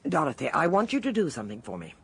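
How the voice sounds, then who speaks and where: Deep, husky English lady sleuth. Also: huge pitch swings, fairly bright tone, full character voice. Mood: brisk, inquisitive. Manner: probing, brisk, authoritative. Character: shrewd, commanding older lady amateur detective. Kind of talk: period radio drama acting.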